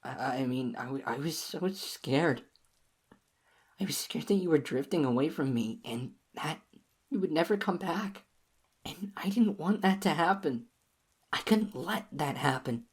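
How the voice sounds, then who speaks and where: A woman voicing an obsessive, clingy young lover in an audio roleplay, speaking softly and pleadingly in a husky, whispery voice to the listener. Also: low voice, lively intonation, measured pace, exaggerated voice. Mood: quietly sinister.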